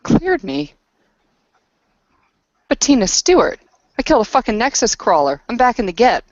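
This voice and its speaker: A woman's voice: on the high side, sing-song, moderate pace, no room sound, voice pushed up. A woman playing a defiant, weary female warrior of werewolf kin in a tabletop game, speaking tersely. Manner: terse, blunt. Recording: dull lo-fi voice recording.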